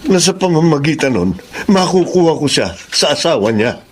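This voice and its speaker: A man's voice: on the high side, big pitch swings, moderate pace, a little hiss or hum. A man acting an obsessive, wounded male rival in a Filipino radio drama, intense and melodramatic. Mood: anguished, desperate.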